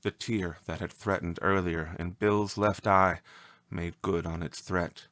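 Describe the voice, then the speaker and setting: A man reading a scripted story as narrator, with an expressive, measured and dramatic delivery. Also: low voice, no echo.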